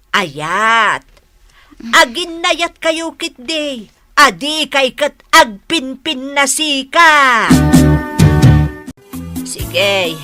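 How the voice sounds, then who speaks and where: An actress playing a kindly elder neighbor in an Ilocano radio drama, earnestly coaxing and admonishing people to reconcile. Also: female voice, medium pitch, pushed and bright.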